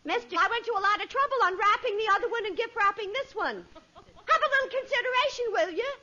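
An actress playing an indignant, fed-up store clerk's wife in an old radio comedy, scolding in exasperation. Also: female voice, very high voice, quick pace, bright, forceful tone. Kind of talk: 1950s radio comedy acting. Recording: dull vintage radio, audience and hiss.

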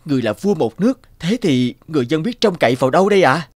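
An earnest, high-voiced young nobleman. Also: animated, fast talker, dry recording, studio quality. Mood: pleading, earnest. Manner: earnest, formal and respectful. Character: upright, loving young royal son-in-law official, earnest husband. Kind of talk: animated folk-tale dialogue.

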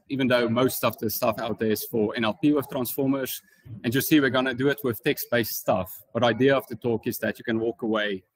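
A man's voice: mid-range voice, breathy edge, poor audio. A man with a South African accent presenting an informal technical tutorial as a conference speaker, explaining things in a casual way. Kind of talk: informal technical talk with slides.

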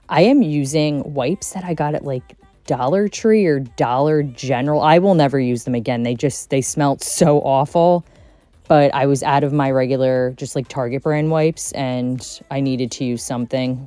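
A low-pitched woman in her thirties chats through her cleaning routine with easy enthusiasm. Her voice-over sounds dry and close, and faint music plays underneath. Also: warm tone, no echo. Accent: Texan. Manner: chatty and enthusiastic, says "like" often. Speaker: cleaning vlogger. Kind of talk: casual voice-over narration.

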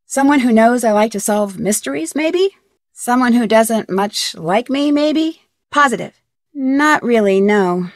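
A woman's voice: fairly high pitch, expressive, unhurried, no echo, studio quality. An actress playing an inquisitive, polite young woman detective in an adventure game, speaking earnestly. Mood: curious, concerned. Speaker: video game lead character. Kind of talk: scripted adventure game dialogue.

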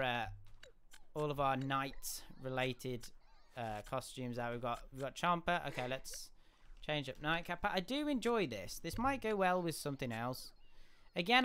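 A young man hosting a casual game livestream. He is chatty and rambling, with a slightly croaky voice. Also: on the high side, fairly bright tone. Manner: chatty, rambling, often restarts and hums. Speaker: gaming livestream host. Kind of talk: casual live commentary. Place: home room. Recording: audible background noise.

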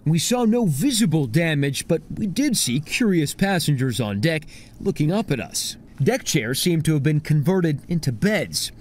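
A man's voice: a little high, darkish tone. A man reporting a news story as correspondent, with a dramatic, emphatic scripted delivery.

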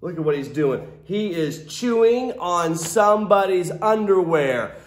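Animated man reading aloud, roomy sound. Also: high voice, measured pace, slight background noise. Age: 30s. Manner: theatrical, exaggerated, playful asides to children. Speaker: children's storytime host, teacher. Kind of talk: animated picture-book read-aloud for kids. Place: classroom. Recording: roomy echo of a classroom.

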